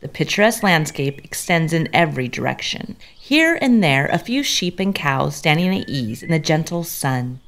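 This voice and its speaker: A woman narrates a scripted period tale. Her voice sits fairly low but moves widely in pitch, in a storytelling style. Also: lively intonation, normal speed, few pauses, fairly bright tone.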